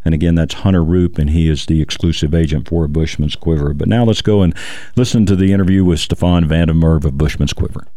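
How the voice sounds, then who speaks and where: A man's voice: rumbling low, fairly even intonation, warm tone. A man with an American accent hosting a radio show, talking conversationally as he interviews and promotes. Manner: repeats phone numbers slowly and deliberately.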